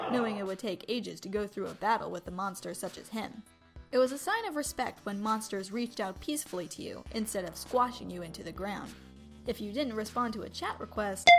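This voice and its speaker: A woman reading her own short story as dramatic narration. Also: medium pitch. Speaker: story writer and reader.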